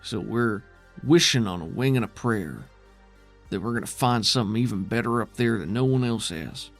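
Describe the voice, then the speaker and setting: A man's voice: on the low side, expressive, moderate pace, vocal fry, soft background music. A man voicing a weary, defiant male settlement leader in a tabletop game, with folksy, plainspoken, skeptical phrasing. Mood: wary.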